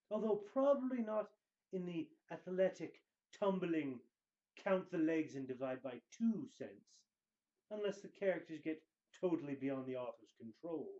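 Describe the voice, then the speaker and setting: Guy doing dramatic book narration, highish but mellow voice, big pauses, kinda dull lo-fi sound. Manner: theatrical, deliberate emphasis with dramatic pauses. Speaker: reader of book excerpts. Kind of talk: dramatic scripted book reading.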